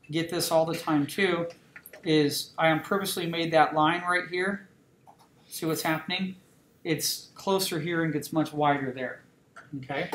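A man teaching a drawing class, talking casually and checking in with students. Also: a little high. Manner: conversational, repeats "okay?" checks with students. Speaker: drawing instructor. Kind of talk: classroom lecture while drawing. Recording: roomy classroom with faint noise.

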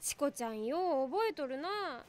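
A woman playing an easygoing, frank teenage schoolgirl in an animated film, speaking casual Okayama dialect. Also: sits high, moderate pace, very noisy. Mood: frank, light. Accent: Okayama Japanese.

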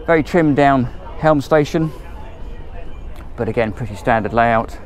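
A man's voice: medium pitch, a little slow, some chatter behind. Chatty man touring a boat. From England. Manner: chatty, enthusiastic, loosely ad-libbed. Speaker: boat tour presenter. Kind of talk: walkthrough tour narration. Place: on board a motor boat at a marina, moving between cabins and decks.

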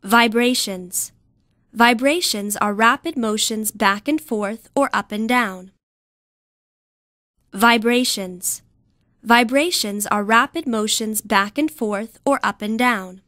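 A woman narrates educational material in a fairly high voice. She reads slowly and carefully, as for a vocabulary lesson, and the sound is dry and close. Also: bright, forceful tone, no echo.

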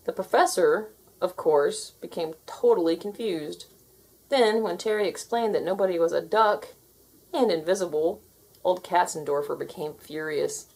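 A woman reading a children's story aloud in an expressive, scripted narrating style. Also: fairly low pitch, normal speed, breathy edge, poor audio. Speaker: storybook reader.

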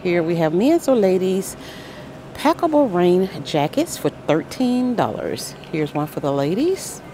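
Slow, lively woman touring store aisles. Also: lowish voice, a little hiss or hum. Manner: upbeat, listing items and prices with little exclamations. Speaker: shopping vlogger. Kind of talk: shop-with-me price walkthrough. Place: aisles of a large grocery store, indoors. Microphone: handheld phone camera.

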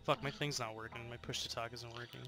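A man's voice: mid-range voice, steady tone, quick pace, sharp tone, soft background music. A man streaming a horror game, casually swearing and mocking other players with frequent laughter. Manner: profane, mocking, laughs often. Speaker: gaming channel host. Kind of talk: casual gaming banter.

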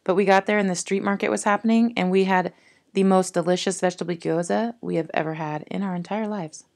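A woman's voice: mid-range voice, fairly even intonation, fast talker, vocal fry, darkish tone. A woman in her thirties chatting casually in a family travel vlog, upbeat and rambling.

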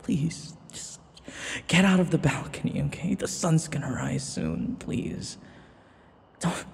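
A man playing an obsessive, lovesick young vampire in an intimate ASMR roleplay, breathy and whispery, halting and full of sighs. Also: on the high side, very animated, heavily acted. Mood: desperate, pleading. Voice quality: breathy, often whispery, creaky.

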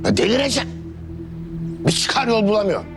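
A man's voice: sits high, wildly swooping pitch, a little fast, vocal fry, music in the background, exaggerated voice. An actor playing Mustafa, a middle-aged father who is stern and threatening, swinging between grief and anger. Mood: exasperated. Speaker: actor in a TV drama. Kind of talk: TV drama acting.

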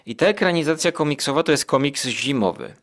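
A man's voice: fairly high pitch, lively intonation, fairly quick. Hesitant, digressive male podcast host. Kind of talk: casual solo podcast review of culture.

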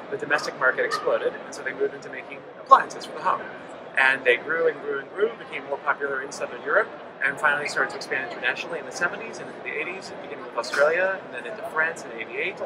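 A man in his forties, a company representative explaining products with frequent ums in a trade show interview. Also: mid-range voice. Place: busy indoor convention hall. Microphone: handheld mic held by interviewer. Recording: noisy trade show floor.

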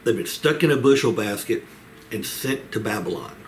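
Measured older man preaching, noisy recording. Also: fairly low pitch, animated. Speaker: pastor leading a Bible study. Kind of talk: Bible teaching, expository sermon. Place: small home office, indoors. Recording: clear background room noise.

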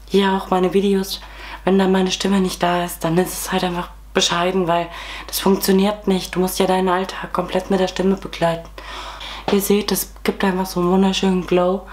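A young woman in her twenties with a cold, hoarse, chatting casually through a makeup tutorial at home with many fillers. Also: medium pitch, darkish tone. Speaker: beauty vlogger. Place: small room at home, indoors.

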